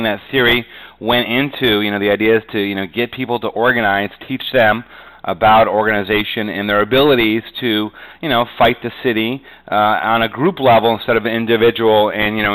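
A man's voice: on the low side, fairly even intonation, brisk, fairly bright tone, smooth, poor audio. A middle-aged man from New England talking conversationally as an interview guest, rambling and often padding with "you know". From New England, USA. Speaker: podcast guest, real estate agent. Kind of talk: conversational interview, telling his career story.

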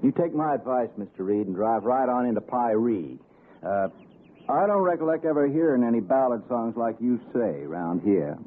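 An actor playing an earnest, deferential mountain lawman with an Appalachian accent and halting, folksy manner in 1960s radio suspense. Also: medium pitch, expressive, lightly acted. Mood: earnest warning. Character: slow-spoken, earnest backwoods mountain lawman, locally born. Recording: tinny old radio broadcast.

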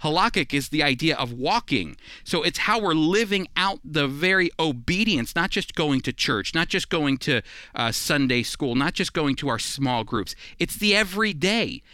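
A man's voice: on the high side, lively intonation, moderate pace, pushed and bright, smooth, no room sound, clean studio sound. A man teaching the Bible on a radio program in an earnest, emphatic, conversational preaching style.

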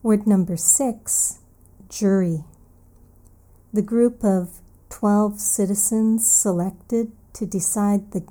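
Woman, English teacher, lesson host, slow careful delivery, repeats key words, very mellow dark tone. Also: mid-range voice. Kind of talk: English vocabulary lesson for learners.

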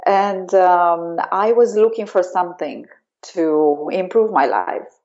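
A woman's voice: lowish voice, slow pace, darkish tone, lo-fi sound. A woman from Romania with a Romanian accent, a yoga teacher speaking in an interview, hesitant with many fillers. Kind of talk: remote interview.

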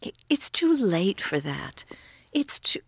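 An older, faithful wife in a Bible radio drama. Her low voice is husky and breathy, weary and gently scolding. She speaks slowly, with feeling. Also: very animated, full character voice. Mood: weary, reproving.

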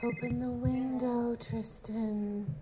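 A woman playing an eerie ghostly bride in a live audio drama, beckoning in a slow monotone. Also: fairly high pitch, faint music behind. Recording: live stage with background noise.

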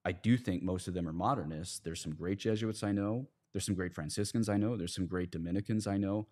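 A man talking through his points in a casual podcast discussion, often halting and correcting himself as he explains. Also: low voice, a little mellow. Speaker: priest and podcast guest.

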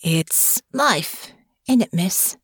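A woman voicing a humble young male airship crewman with a soft, homey rural drawl and folksy grammar. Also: on the low side, lively intonation, drawn-out words, breathy edge, dry recording, studio quality, full character voice. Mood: pensive. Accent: rural country dialect. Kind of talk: audiobook character voice.

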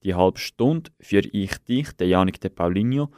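A male radio host presents in a scripted style with a Swiss German accent. His voice is fairly low and recorded dry and close. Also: animated, normal speed, darkish tone, no room sound.

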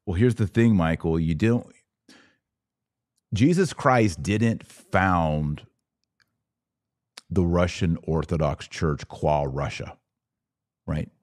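Deep-voiced man ranting as podcast host. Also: slow pace, pauses often, a little mellow, no room sound, studio quality. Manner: emphatic, preachy, with rhetorical questions. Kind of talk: live religious commentary rant, reading audience comments.

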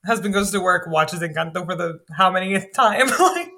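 A woman with a lower voice chatting casually on a podcast, animated and full of "like" fillers. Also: normal speed.

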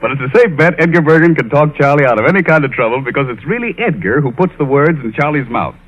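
A man's voice: fairly high pitch, lively intonation, fast, highs cut off. A man delivering a scripted introduction as an old-time radio announcer.

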